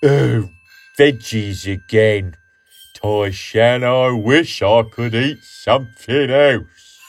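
A man's voice: medium pitch, extremely slow. A man voicing a stubborn young male T-Rex in a children's storybook, whiny and grumbling.

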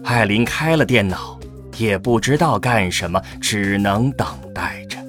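A man's voice: on the low side, a little slow, a bit bright, faint music behind. A man narrating a scripted science-fiction radio drama.

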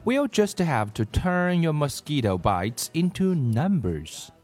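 A man voicing a brisk, insistent female schoolteacher in a children's storybook, firm and matter-of-fact. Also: a little high, sing-song, darkish tone, voice pushed up, full character voice.

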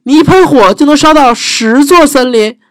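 A man voicing a clever, brave young princess in a children's story, exclaiming eagerly and heaping on flattery. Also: extremely high pitch, normal speed, no echo, voice pushed up. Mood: flattering.

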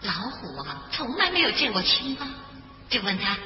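A woman narrates a children's tale in a high, lively voice with expressive rises and falls. The sound is slightly muffled and echoing, with music behind. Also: normal speed. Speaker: children's storyteller. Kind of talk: animated bedtime fable narration. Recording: echoey long reverb, muffled, music behind.

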